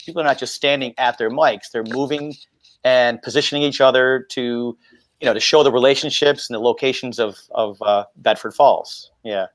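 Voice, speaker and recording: A man's voice: medium pitch, normal speed, no echo. A man casually explaining something on a panel held over video call.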